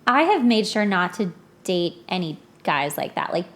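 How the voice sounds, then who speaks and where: A woman's voice: fairly low pitch, sing-song, normal speed, fairly bright tone. A woman in her thirties hosting a podcast, chatting casually in a blunt, informal way. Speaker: podcast host. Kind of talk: casual chat.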